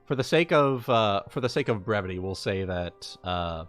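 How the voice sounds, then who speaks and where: A man narrating a live tabletop roleplaying session as game master, halting as he searches for words. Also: on the low side, lively intonation, fairly bright tone. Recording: music playing behind the voice. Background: background music.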